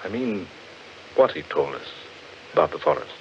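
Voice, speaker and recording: An actor playing an earnest, brooding gentleman in a theatrical 1940s radio horror drama, insistent and given to hesitant pauses. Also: sits low, lively intonation. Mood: earnest, ominous. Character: earnest, brooding gentleman fascinated by eerie legends. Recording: muffled, noisy 1940s radio recording.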